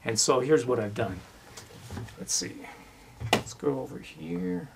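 A man's voice: medium pitch, expressive, slow pace, creaky, heavy background noise. A man talking casually as he explains his work as a sound designer.

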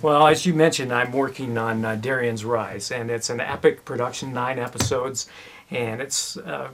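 A man's voice: mid-range voice, fairly even intonation, normal speed, noisy background. A man talking casually as he explains his work as a sound designer.

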